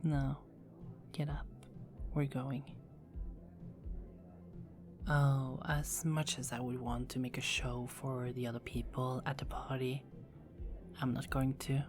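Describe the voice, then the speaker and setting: A woman's voice: rumbling low, steady tone, takes it slowly, pauses often, over music. A woman voicing a seductive, dominant female vampire in an ASMR roleplay, speaking in teasing, close whispers. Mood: commanding.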